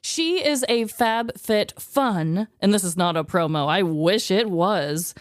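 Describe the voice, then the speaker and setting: A woman co-hosting a podcast in casual, comedic chat, playful and expressive. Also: medium pitch, takes it slowly, dry recording, clean studio sound. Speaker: podcast co-host.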